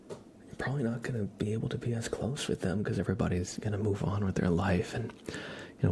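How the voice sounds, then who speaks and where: A young adult man speaking softly and gently as an ASMR livestreamer, half whispering as he chats casually to viewers. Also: lowish voice, vocal fry, a little hiss or hum. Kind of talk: soft-spoken ASMR, casual chat with viewers.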